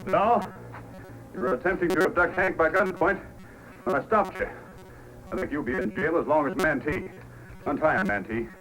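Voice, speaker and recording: An actor playing a firm, courteous Yukon police sergeant in an old-time radio adventure drama. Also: male voice, fairly high pitch, normal speed, pauses often, close to an everyday voice, poor audio. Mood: stern, commanding. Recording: old radio recording with loud music.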